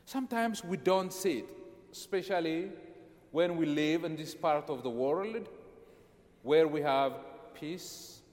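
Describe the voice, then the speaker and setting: Preacher from Ethiopia, a man with a high voice, emphatic, repetitive sermon phrasing, long reverb of a church hall. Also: slow pace, a little mellow. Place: church sanctuary.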